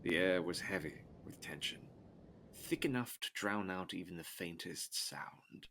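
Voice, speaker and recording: Low, forceful male gothic narrator. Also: lively intonation, measured pace, creaky, a little hiss or hum. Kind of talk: dramatic scripted gothic narration.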